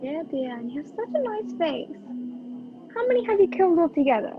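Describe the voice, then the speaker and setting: A faintly scored, lo-fi video-call recording carries a sharp-tongued woman, played by a young actress. Her voice is high, and she delivers amateur radio-play lines with tart sarcasm and indignation. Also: big pitch swings, fairly quick. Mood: wry. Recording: dull lo-fi video call audio. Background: faint music.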